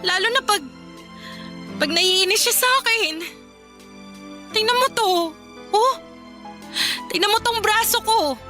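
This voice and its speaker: A bitter, exhausted daughter in a radio drama. Her voice is high and bright, pleading and defiant with emphatic repeats. Soft music sits underneath. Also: expressive, measured pace, pauses often, heavily acted. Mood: weary, aggrieved. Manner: emotional, pleading, emphatic repetition. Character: aggrieved, worn-out adult daughter, bitter and defiant. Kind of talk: Filipino radio drama acting.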